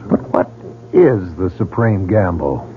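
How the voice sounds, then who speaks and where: A curious but guarded newcomer who questions everything he sees. His lowish voice moves lively, and it comes through narrow and phone-like, like a vintage broadcast. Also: a little slow, a little hiss or hum. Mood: wary. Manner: inquisitive, wary. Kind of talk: 1940s radio drama acting. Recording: phone-like old radio broadcast.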